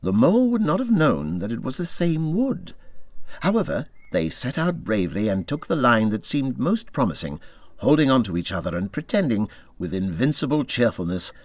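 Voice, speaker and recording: A man reading a classic children's story aloud as an expressive narrator, with measured, dramatic phrasing. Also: fairly low pitch, no echo. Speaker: audiobook narrator.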